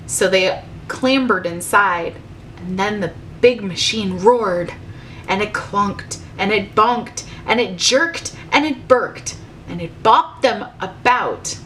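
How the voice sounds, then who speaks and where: A woman teacher reading a rhyming storybook aloud to children in a measured, rhythmic way. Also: medium pitch, a bit bright, slight background noise, poor audio.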